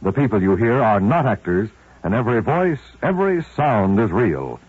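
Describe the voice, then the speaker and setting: An American man delivers a deliberate, dramatic 1950s radio announcement. His voice is lively in pitch and a bit rough, on a tinny, dry vintage recording. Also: no echo.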